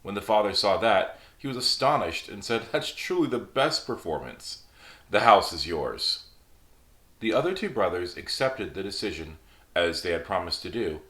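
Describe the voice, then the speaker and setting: A man with a lowish voice reads a fairy tale aloud, relaxed and chatty, letting his pitch rise and fall with the story. The room around him rings with noticeable echo. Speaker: storyteller host. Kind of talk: casual storytelling reading.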